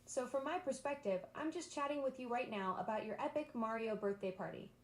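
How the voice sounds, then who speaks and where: A woman's voice: a little high, brisk, echoey room, slight background noise. A synthetic female voice assistant talking in a bubbly, enthusiastic, eager-to-please way. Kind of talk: upbeat synthetic assistant chat.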